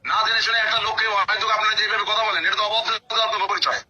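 A Bangladeshi man with a high voice speaks over a clearly noisy phone recording. He sounds like an official setting the record straight, defensive and insistent. He explains step by step and repeats himself to press his point. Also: level tone, quick pace, highs cut off. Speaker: police station officer-in-charge.

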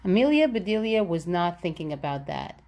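A woman's voice: fairly low pitch, big pitch swings, fairly quick, a little mellow. A woman reading a children's picture book aloud, expressively and with careful enunciation for young listeners. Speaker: read-aloud storybook narrator.

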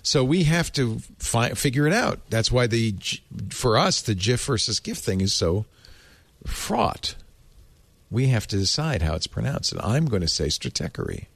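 A man hosting a casual panel podcast, thinking out loud in a playful, expressive way. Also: medium pitch, moderate pace, creaky, no echo. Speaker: podcast host.

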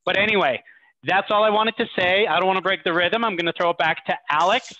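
A man with a high, bright voice on slightly dull video call audio. He presents casually and encouragingly, like someone hosting a fundraiser. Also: quick pace, slight background noise. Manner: informal, encouraging. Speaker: charity event presenter. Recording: muffled dry video call.

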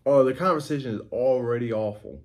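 A man's voice: medium pitch, a little fast. A man in his thirties hosting a reaction video, critiquing and coaching with a mocking tone, recorded indoors on a couch. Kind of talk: blunt critiquing commentary.

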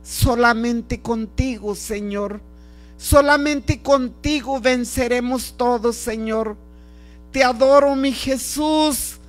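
Slow, pleading prayer leader over music. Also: medium pitch. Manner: pleading, devotional, in short repeated phrases. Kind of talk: fervent extemporaneous spoken prayer.

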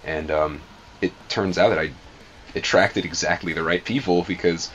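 A man's voice: low-pitched, normal speed, fairly bright tone, dull audio, a little hiss or hum. A man from Atlanta, a drummer, chatting casually with lots of "like" and "um" in a music studio. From Atlanta, USA. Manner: talkative, filler-heavy, rambling. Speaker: band drummer. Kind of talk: casual interview talk.

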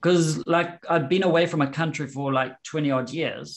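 A man in his forties with a softened New Zealand accent, a guest chatting casually on a video call through a headset, explaining in an animated way. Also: a little high, moderate pace.

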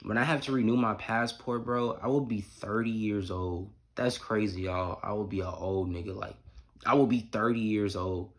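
Young American man, low voice, casual vlog chat, slangy, emphatic, repetitive phrasing. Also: fairly even intonation, moderate pace. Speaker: YouTube vlogger. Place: small indoor room at home. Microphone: phone or camera at arm's length.

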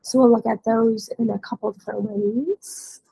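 A woman in her thirties, hoarse and scratchy from a cold, giving a casual software training webinar over a headset. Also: fairly high pitch, steady tone, normal speed, no echo. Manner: casual, explanatory. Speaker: webinar trainer, dealer support staff. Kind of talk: informal software training walkthrough. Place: indoor room, on a video call.

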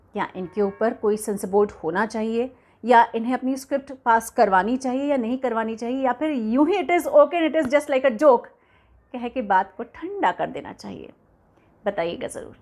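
Woman host, forties, slightly high voice, earnest commentary, pauses and fillers, home camera. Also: lively intonation, darkish tone. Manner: earnest, measured, with frequent hesitations. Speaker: entertainment news host. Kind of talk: scripted news commentary to camera. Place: home room, indoors.

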